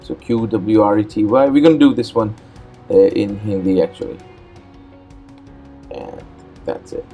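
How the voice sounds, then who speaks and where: A man's voice: on the low side, measured pace, long pauses, soft background music. Urdu-speaking man narrating a computer tutorial. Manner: relaxed, plain explaining with frequent "uh" and repeated words. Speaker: host. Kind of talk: step-by-step screen tutorial narration.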